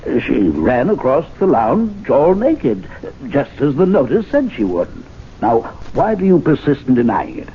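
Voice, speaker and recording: An actor playing a well-spoken club president who is polite but insistent, in a 1970s radio drama. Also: male voice, mid-range voice, huge pitch swings, measured pace, slight background noise. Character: poised male club president, firm and smooth. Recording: dull lo-fi old radio recording.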